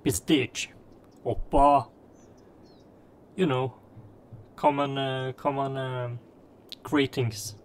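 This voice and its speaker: A man whose first language is Norwegian, chatting casually and haltingly with viewers while streaming a game. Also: medium pitch, level tone, slight background noise. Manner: halting, many fillers, greets chatters by name. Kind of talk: casual live-stream chat.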